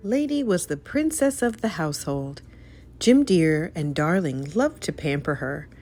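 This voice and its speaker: Female storyteller, low pitch, lively intonation, expressive children's read-aloud narration, dramatic emphasis. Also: a little slow, soft background music.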